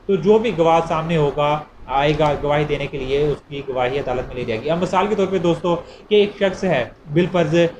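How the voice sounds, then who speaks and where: A man with a Pakistani accent giving an informal explanatory law lecture in a didactic way, repeating points as he goes. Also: a little high. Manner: didactic, repeats points, poses and answers questions. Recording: clearly noisy amateur recording.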